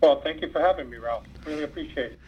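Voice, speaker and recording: A man's voice: fairly high pitch, fast talker, darkish tone, slightly rough. Cuban-born man on a muffled phone line. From Cuba. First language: Spanish. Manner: rambling, many fillers and restarts. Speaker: former undercover FBI agent, guest. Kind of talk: interview, recounting career.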